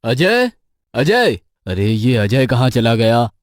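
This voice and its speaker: A man voicing an anxious young man in an animated horror story. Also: mid-range voice, slow, no echo, clean studio sound, pitched above the performer's own voice. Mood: nervous, searching.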